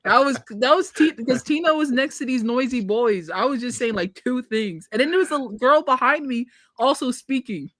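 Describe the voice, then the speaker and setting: A man's voice: extremely high pitch, expressive, normal speed, hardly any pauses, fairly bright tone, no echo. A man chatting casually as a podcast guest, telling stories in a chatty, loose way. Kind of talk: casual chat.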